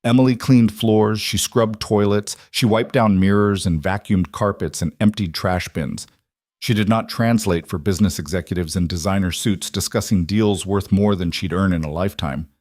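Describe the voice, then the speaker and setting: Man narrating a story, low and dry. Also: moderate pace, darkish tone, no echo.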